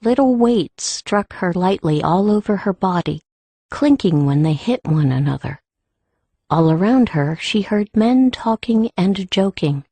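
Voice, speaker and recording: A woman's voice: mid-range voice, animated, a little slow, mellow, no echo. A woman reading scripted fantasy fiction as an audiobook narrator.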